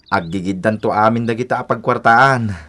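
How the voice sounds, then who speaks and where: Radio drama: happy-go-lucky, wayward young Filipino man, slightly deep voice. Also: moderate pace, bright, forceful tone. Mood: carefree, cajoling. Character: carefree, wayward young man who loves nights out. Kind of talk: Ilocano radio drama acting.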